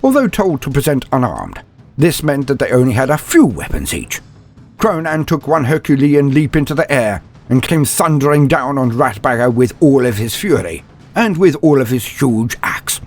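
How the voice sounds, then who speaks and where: Husky male storyteller with sweeping, theatrical delivery. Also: medium pitch, big pitch swings, a little mellow. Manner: theatrical, grandiose, wry deliberate pauses. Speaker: narrator of fan fiction lore. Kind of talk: dramatic scripted story narration. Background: background music.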